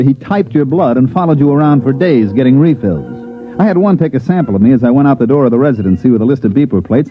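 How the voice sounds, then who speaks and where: A man's voice: a little high, muffled recording. An American man playing a wry, hard-boiled government investigator, acting and narrating in a sardonic 1950s radio drama style. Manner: wisecracking, sardonic delivery. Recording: thin, band-limited old radio recording with music.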